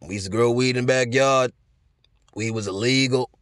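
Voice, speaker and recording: A man's voice: mid-range voice, normal speed, a bit bright, smooth, no room sound, close to an everyday voice. A man doing a drawling old stoner dude. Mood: mellow griping. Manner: drawling, peppered with "man" and "bro".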